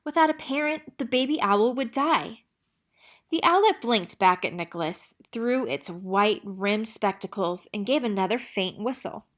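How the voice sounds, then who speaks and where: A woman's voice: medium pitch, animated, normal speed, a bit bright. Woman reading a children's picture book aloud. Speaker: read-aloud narrator.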